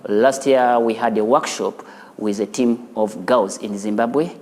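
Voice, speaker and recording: Low-voiced Ugandan man in a reverberant room. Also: fairly even intonation, unhurried, darkish tone, a little hiss or hum. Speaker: animation studio founder being profiled. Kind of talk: interview and studio tour for a news feature. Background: music.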